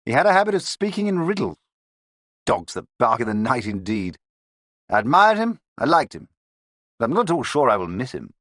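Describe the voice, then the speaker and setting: A man voicing an excitable older male police detective in a storybook reading, with high, strained exclamations. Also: fairly quick, no room sound, studio quality. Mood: blustering. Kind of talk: audiobook character voice.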